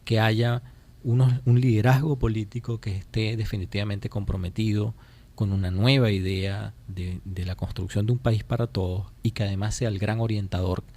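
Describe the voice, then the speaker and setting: A Venezuelan man giving political commentary as a radio interview guest, speaking emphatically and deliberately and repeating phrases to drive points home. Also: lowish voice, steady tone, warm tone. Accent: Venezuelan Spanish. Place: radio studio.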